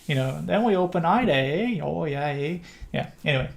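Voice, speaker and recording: Middle-aged Canadian livestream host, gravelly voice, loose and rambling, lots of ums. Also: male voice, on the high side, animated, normal speed. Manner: rambling with many fillers and restarts. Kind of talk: casual live Q&A chat.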